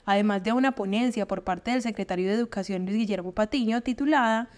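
A woman's voice: medium pitch, fast, a little mellow. A woman reading scripted news narration as a voice-over.

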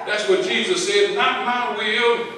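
Older man, Southern US accent, pastor preaching to a congregation that answers back loudly, very echoey church. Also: high voice, fairly even intonation, a crowd in the background. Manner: preaching with call-and-response, drawn-out emphasis. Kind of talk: sermon. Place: large church sanctuary with congregation. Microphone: handheld mic. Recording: very echoey church hall, heard from a distance. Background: congregation calling back.

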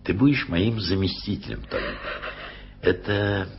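A man's voice: low voice, unhurried, creaky, breathy edge, full character voice. An actor playing a kindly, flustered older male journalist in a 1980s radio play, coaxing and muttering to himself. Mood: playful. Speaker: lead character in a radio play. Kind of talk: 1980s radio drama acting. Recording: lo-fi archival radio recording with music.

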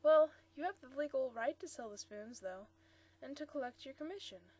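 A woman reading the part of a good-humored young man who turns brisk and businesslike. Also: fairly high pitch, sharp tone, dry recording. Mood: jovial. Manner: jovial, businesslike.

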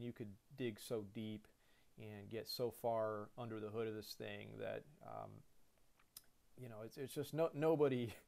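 A man in his thirties, an airline pilot, talks through a cockpit layout in a relaxed, conversational way full of fillers and restarts, recorded at a computer. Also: mid-range voice, creaky. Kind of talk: casual screen-share lesson. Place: indoors at a computer.

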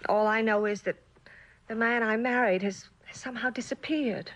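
Actress as a wounded, lonely wife. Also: female voice, on the high side, steady tone, a little hiss or hum, lightly acted, rough recording. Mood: hurt. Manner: plaintive, halting, wounded. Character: lonely, neglected wife, pleading and bitterly sarcastic. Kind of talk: mid-century American television drama acting.

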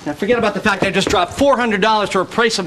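An actor as a high-pitched wisecracking young American man. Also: fast, lightly acted. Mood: mock upbeat. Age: young adult. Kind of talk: sitcom acting with outtakes. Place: indoor studio sitcom set. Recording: very noisy TV studio outtake audio.

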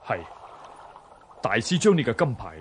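An actor playing a lordly, generous Chinese emperor in a radio drama, with a stately, commanding manner. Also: male voice, mid-range voice, very animated, moderate pace, a little hiss or hum, natural voice. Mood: generous, insistent. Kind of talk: Cantonese radio drama acting.

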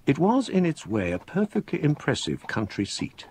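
An actor playing a scholarly English gentleman recounting a ghostly memoir in measured, formal, literary phrases for radio drama. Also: fairly high pitch, huge pitch swings, a little hiss or hum. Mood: brooding.

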